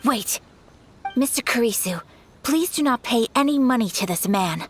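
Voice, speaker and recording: Woman playing a formal, poised young noble lady, slightly high voice, measured delivery. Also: animated, lightly acted. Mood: commanding. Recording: game audio with faint music behind.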